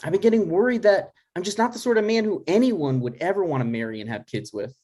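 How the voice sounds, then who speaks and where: A man playing an anxious, needy adult son in an informal comedy table read, speaking in an earnest, halting, exasperated way. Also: high voice, expressive, darkish tone. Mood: anxious, hurt. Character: anxious, needy adult son seeking his dad's approval. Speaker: writer playing himself and reading stage directions.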